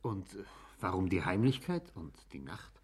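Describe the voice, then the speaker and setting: Sceptical magistrate in a german radio drama, husky voice, brisk questions, really lively intonation. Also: male voice, low-pitched, exaggerated voice. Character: probing, official examining magistrate. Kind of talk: German radio play acting.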